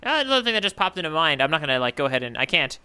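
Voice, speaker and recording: A man with a very bright, forceful voice and a smooth, crisp tone chats casually as a podcast co-host. His pitch sits a little high, and he thinks aloud as he talks. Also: very animated, rapid-fire. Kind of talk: casual chat, manga readalong discussion.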